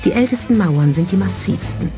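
A documentary narrator with a middle-register voice delivers scripted narration. Music plays clearly underneath throughout. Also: medium pitch, expressive, fairly quick, dark tone. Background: background music.

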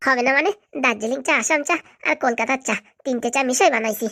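A woman's voice: sits high, quick pace, pushed and bright, dry recording, clean studio sound, full character voice. A woman voicing a bossy, boastful, scheming female power broker in a cartoon, brash and commanding. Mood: brusque, proud. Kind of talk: amateur cartoon voice acting.

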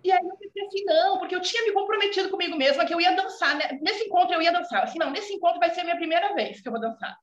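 A woman's voice: very high voice, rapid-fire, hardly any pauses. A Brazilian woman in her forties with a gaúcha accent, talking earnestly on a video call and often tagging her sentences with "né". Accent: Brazilian Portuguese, gaúcha. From southern Brazil. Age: 40s. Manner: warm, earnest, many filler "né" tags. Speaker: student guest. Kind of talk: casual video call chat. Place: home room indoors. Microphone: video call laptop mic. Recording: echoey, dull video call audio.

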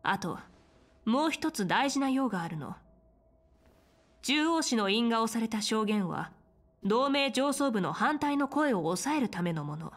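An actress playing a confident female military general in a fantasy game, speaking in an assertive, measured and frank manner. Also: on the high side. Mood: businesslike. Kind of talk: dramatic Japanese game voice acting.

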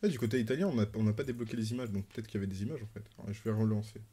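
A man's voice: lowish voice, racing, mellow. A man co-hosting a livestream, casually relaying viewers' messages in a joking, mock-formal way. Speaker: livestream co-host. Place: indoor room, livestream. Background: music.

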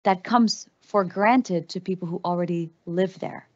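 A woman's voice: fairly low pitch, unhurried, muffled recording. A woman in her thirties from the Netherlands, giving a conversational keynote on a large stage with a headset mic and plenty of fillers. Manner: animated, conversational, frequent fillers. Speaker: keynote speaker. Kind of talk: conference talk.

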